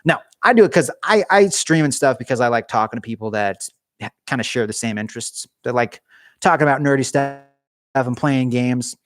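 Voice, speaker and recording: A man's voice: medium pitch, expressive, a little fast, a bit bright, dry recording, studio quality. A young man chatting casually on a live stream from his garage, rambling and joking with viewers, his voice getting worn. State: losing his voice.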